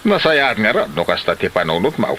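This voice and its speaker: Man acting bright, lively older Ilocano father. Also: on the low side, fairly quick, a little hiss or hum. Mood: wary. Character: older village father, cautious but obliging moneylender. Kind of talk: Ilocano comedy drama acting.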